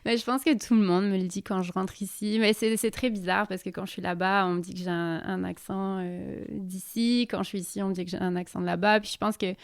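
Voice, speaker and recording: A woman's voice: mid-range voice, steady tone, fairly quick, gravelly, few pauses, no room sound, studio quality. A woman from southern France with a French accent tinged with Quebec, talking hesitantly with many fillers in a casual interview. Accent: French with a slight Quebec accent. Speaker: guest, expatriate in Quebec.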